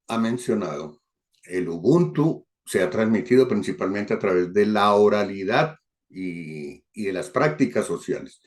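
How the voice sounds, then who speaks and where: A man hosting an interview, asking long, careful, formal questions. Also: on the low side. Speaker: interviewer, host.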